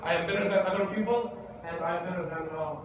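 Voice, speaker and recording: A man's voice: high-pitched, quick pace. A man pastor giving a conversational Bible lesson, asking the congregation questions. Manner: conversational, asks the room questions. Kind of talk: interactive Bible teaching. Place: church. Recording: echoey church hall, distant mic, noisy.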